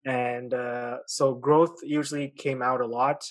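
Podcast guest, man, late 20s, grew up in Pakistan; friendly, halting, dry sound. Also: mid-range voice, flat delivery, dry recording. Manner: friendly, hesitant, many fillers and restarts. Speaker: guest, author and podcast host. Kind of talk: casual interview chat.

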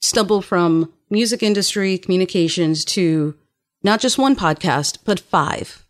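Enthusiastic woman podcast host. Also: fairly low pitch. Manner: enthusiastic, quick reactions. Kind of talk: casual interview conversation.

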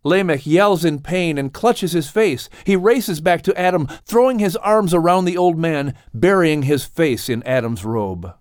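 A man narrating an audiobook with dramatic, expressive storytelling. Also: a little high, measured pace, hardly any pauses, no room sound, studio quality.

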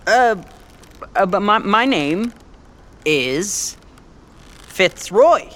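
A man's voice: high-pitched, lively intonation, drawn-out words, fairly bright tone, full character voice. A man playing a nervous, chatty young American bluffing as a thief in a fiction podcast, rambling and stammering as he tries to please. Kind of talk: fiction podcast acting, casual modern speech.

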